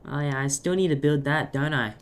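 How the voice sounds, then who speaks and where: A man with an Australian accent and a croaky voice, chatting playfully and joking while he streams a game. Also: fairly high pitch, fairly quick. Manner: joking, playful, self-mocking. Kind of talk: casual gaming chat.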